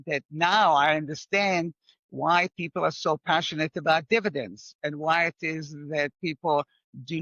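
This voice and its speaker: An older man with an Israeli accent, a finance professor telling stories in a measured, wry way as a guest in an interview. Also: a little high, fairly bright tone, no room sound, highs cut off. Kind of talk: conversational interview.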